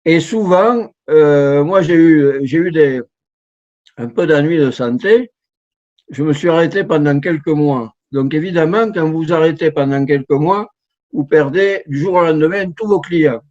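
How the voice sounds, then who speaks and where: A middle-aged translator gives an informal talk over a video call, his voice fairly high for a man. He speaks slowly and keeps restarting and repeating words as he works through his points. The sound is dull and lo-fi, like a computer microphone on a call. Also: a little mellow. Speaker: professional translator giving a talk. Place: home setup on a video call. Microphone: computer mic on a video call.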